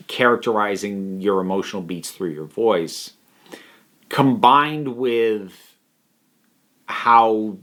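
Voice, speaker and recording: A man giving a casual spoken review, thinking aloud in a halting, thoughtful way with emphatic stresses on key words. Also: lowish voice, a bit bright. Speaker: online reviewer.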